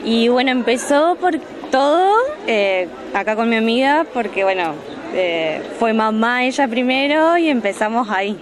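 A young woman, a craft vendor speaking softly and a little hesitantly in an interview. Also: a little high, lively intonation, unhurried. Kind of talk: casual interview. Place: large indoor fair hall. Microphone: handheld mic. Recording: crowd chatter behind.

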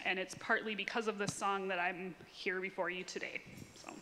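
A woman's voice: mid-range voice, steady tone, normal speed, sharp tone, a little hiss or hum. A woman in her thirties telling a personal story to a congregation in a candid, informal way. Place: church sanctuary, standing. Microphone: handheld microphone. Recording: reverberant church hall.

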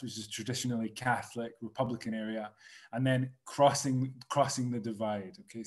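A Scottish man in his thirties, a tour director giving a relaxed virtual presentation over a video call from a small room, chatty with many fillers and restarts. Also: mid-range voice. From Scotland. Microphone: video call webcam.

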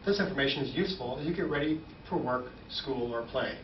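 A man in his forties giving a measured, formal, scripted informational presentation as a weather service spokesman. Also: mid-range voice, animated. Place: small indoor operations room, standing at a podium. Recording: roomy, slightly dull, distant, faint noise.

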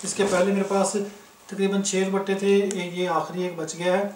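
A man from Pakistan showing clothes for sale, listing prices in a brisk, matter-of-fact way. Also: high voice, level tone, a little mellow, distant mic, slight background noise. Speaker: online clothing seller. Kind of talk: casual product showcase. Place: indoors, filming fabrics on the floor. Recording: echoey room, somewhat off-mic.

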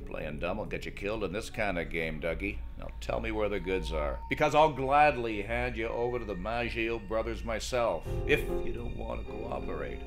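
A man's voice: fairly low pitch, expressive, normal speed, few pauses, over music. A man playing a pushy, hard-boiled film-noir detective, interrogating in a tough-talking melodramatic style. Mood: menacing.

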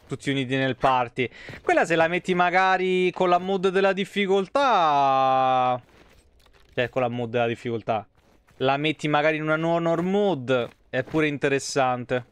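A man's voice: a little high, normal speed, fairly bright tone, clear, resonant tone. A man in his thirties with a Roman Italian accent, giving casual, slangy live game commentary and chatting with viewers in rambling asides. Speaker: game streamer.

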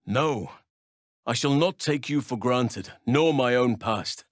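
An actor playing a gentle, solemn, protective elf druid in a fantasy video game, speaking in measured, earnest, formal phrases. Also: male voice, mid-range voice, no echo, studio quality, lightly acted. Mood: calm, thoughtful.

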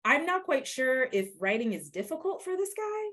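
A woman's voice: high voice, big pitch swings, moderate pace, fairly bright tone. A woman speaking as an actor on a video call panel, reflective and searching for her words in a casual discussion. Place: indoor room on a video call. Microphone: video call computer microphone.

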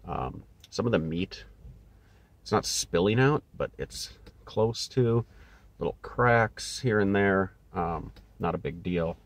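A man's voice: low-pitched, slow, no room sound. A man in his thirties with an Upper Midwest accent, casually reviewing snack food from a parked car. He is a bit congested, fills gaps with ums, and chats while he eats. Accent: Upper Midwest American. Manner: relaxed, many filler ums, talks while eating. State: slightly congested. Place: parked car, indoors, small cabin. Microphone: phone on dash holder. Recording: phone in car with steady background noise.

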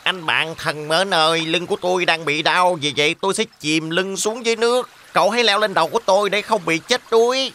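A man's voice: sits high, brisk, hardly any pauses, over music, lightly acted. A man voicing a sly fox in a children's cartoon, coaxing and falsely friendly. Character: sly male fox coaxing his prey.

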